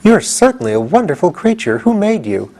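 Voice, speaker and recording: A man's voice: high voice, very animated, fairly quick, darkish tone, pitched above the performer's own voice, full character voice. A man voicing a sweet, mischievous young palace maid in a storybook, demure and playful. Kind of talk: storybook character reading.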